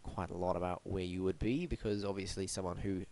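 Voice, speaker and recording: A man's voice: low voice, fairly even intonation, brisk, mellow. A man hosting a web design review, blocked up and sniffly from hay fever, with a wry, mock-formal, theatrical delivery. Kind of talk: scripted web review monologue.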